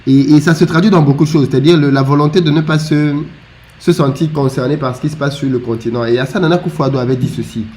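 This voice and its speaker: Reflective man in relaxed conversation. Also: on the high side, quick pace. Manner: reflective, agreeable, laughs lightly. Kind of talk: conversational discussion.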